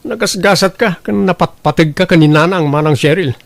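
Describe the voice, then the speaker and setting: A resentful young farmer son played by a man with an Ilocano accent, accusing and full of complaint. His voice sits high and has a gravelly creak, as heard in radio-style drama. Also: a little fast, no echo. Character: bitter, blaming grown son, a struggling farmer. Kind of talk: Ilocano audio drama acting.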